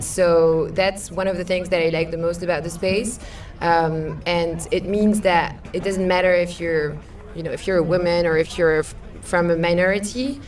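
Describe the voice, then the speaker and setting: A woman panelist speaking thoughtfully, with pauses and fillers. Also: on the low side, darkish tone. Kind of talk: panel discussion. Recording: far from the mic.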